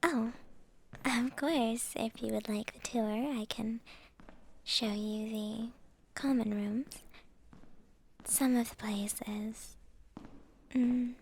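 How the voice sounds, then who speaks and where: A woman performs a coy young female vampire for an intimate ASMR roleplay. Her voice is soft and breathy, often whispered, with a creaky edge. She speaks slowly and hesitantly, playful and secretive, over faint background noise. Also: fairly high pitch, fairly even intonation. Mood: inviting, reassuring. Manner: coy, hesitant, drawn-out phrasing with soft chuckles. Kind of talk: ASMR roleplay, intimate girlfriend-style monologue.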